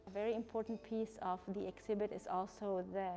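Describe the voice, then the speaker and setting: A woman from Ecuador with an Ecuadorian Spanish accent, explaining her exhibition as an artist and professor in measured, hesitant phrasing. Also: medium pitch, level tone, mellow, soft background music. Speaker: artist and art professor presenting own exhibition.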